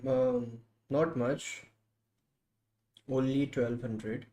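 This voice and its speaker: A man's voice: mid-range voice, very slow, warm tone. A man, chatting casually and teasingly as a live streamer. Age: 20s. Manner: teasing, flirty, repeats himself. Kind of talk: casual video chat.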